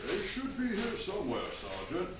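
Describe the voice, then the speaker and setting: Actor as animated police inspector, echoey hall. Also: male voice, high-pitched, moderate pace. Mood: wry. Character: authoritative, wry police inspector. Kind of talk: stage radio drama acting. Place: theatre stage, live performance. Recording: very echoey hall, distant mic, clear noise.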